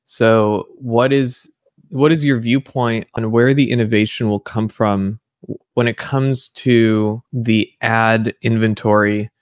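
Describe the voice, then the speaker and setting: A man's voice: lowish voice, fairly even intonation, slow, dry recording. A man hosting a podcast, asking questions in a conversational interview style.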